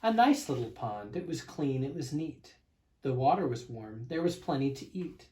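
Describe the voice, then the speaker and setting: A man reading a children's storybook aloud as narrator, with expressive, dramatic emphasis. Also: medium pitch, normal speed.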